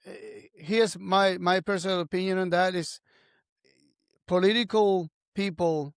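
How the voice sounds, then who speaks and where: Argentine guy, high voice, earnest, spanish accent on his english, dry mic. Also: fairly even intonation, a little slow, clear, resonant tone, no room sound. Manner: earnest, slightly broken English, repeats words. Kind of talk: casual conversation.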